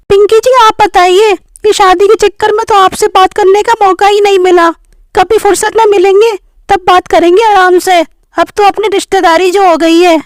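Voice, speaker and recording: A woman voicing a polite, sociable female relative in a cartoon family story, with a courteous, formal manner. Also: very high voice.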